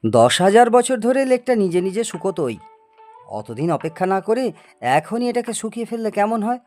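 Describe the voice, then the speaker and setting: A man's voice: sits high, big pitch swings, normal speed, a little mellow, soft background music. A man playing a boastful, eccentric older scientist in an audio story, lecturing and teasing in a grandiose way. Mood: smug, bold. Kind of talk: dramatised audio story acting.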